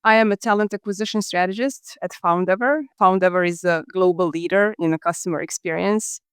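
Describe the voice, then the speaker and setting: A woman from Croatia, a podcast guest explaining her hiring work in a measured, businesslike way. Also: lowish voice, clean tone, dry recording, studio quality. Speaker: guest, talent acquisition leader. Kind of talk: podcast interview answers.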